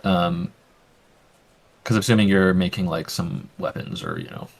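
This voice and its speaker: Deep-voiced man running a tabletop game. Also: huge pitch swings, normal speed, vocal fry, husky. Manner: casual, explains rules with many fillers. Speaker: game master.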